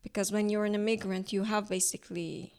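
A young adult woman from Iran, Turkish her first language, speaking English with an Iranian accent in a thoughtful, halting way as an artist in an interview. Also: mid-range voice, flat delivery, a little mellow, smooth. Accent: Iranian, speaking English as a later language. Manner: thoughtful, halting, searching for words. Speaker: artist guest. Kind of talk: reflective interview conversation.

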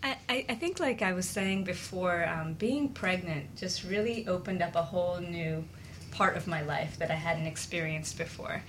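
A woman's voice: fairly low pitch, moderate pace, a bit bright, a little hiss or hum. A woman co-hosting a talk show, sharing personal experience in an earnest, confiding, conversational way.

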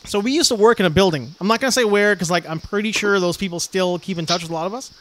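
High-voiced man telling a rambling story. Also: racing, a little hiss or hum. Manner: rambling storyteller, laughs often, animated retellings. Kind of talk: casual chat.

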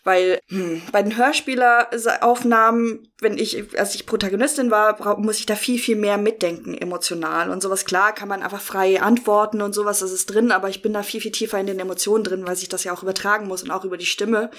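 A woman's voice: medium pitch, fairly even intonation, a little fast, hardly any pauses, a bit bright. A woman, chatting casually as a podcast guest and actress. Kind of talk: casual chat.

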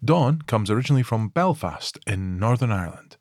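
A man hosting a podcast, reading a scripted introduction in a measured, orderly way. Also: on the low side, expressive, a little mellow, no room sound, studio quality. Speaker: podcast host.

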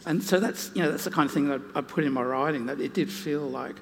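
A man's voice: on the high side, fast, vocal fry. Man telling anecdotes in a reverberant hall. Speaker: naturalist and author on a panel. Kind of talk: unscripted panel talk with anecdotes. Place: large indoor hall at a live museum event. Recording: hall reverb, noisy live event.

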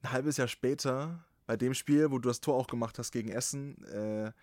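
Podcast host, man, slight Saxon accent, emphatic and animated, medium pitch, dry close mic. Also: normal speed, no room sound. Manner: animated, emphatic, swears casually. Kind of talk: casual podcast chat.

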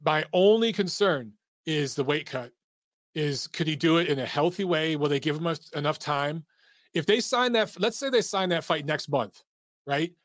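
A man's voice: fairly high pitch, moderate pace, fairly bright tone, no echo, studio quality. A man hosting a live-stream boxing talk show, chatting casually and reading viewer comments aloud. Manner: casual, reads viewer super chats aloud.

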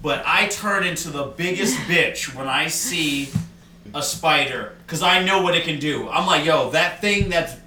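A man's voice: on the high side, unhurried. A man co-hosting a casual podcast, joking around and swearing freely in a rambling way. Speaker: podcast co-host. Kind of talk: casual chat. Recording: echoey room, mic at a distance, faint hiss.

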